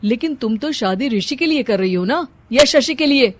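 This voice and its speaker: Woman voicing a blunt cartoon relative, highish voice. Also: animated, moderate pace, slight background noise. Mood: reproving. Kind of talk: Hindi moral-story cartoon voice acting.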